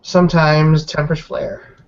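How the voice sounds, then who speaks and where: A man voicing a loyal, measured werewolf in a roleplaying game, speaking deliberately with pauses for emphasis. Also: a little high, level tone, lo-fi sound. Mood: measured, advising. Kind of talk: improvised in-character tabletop roleplay.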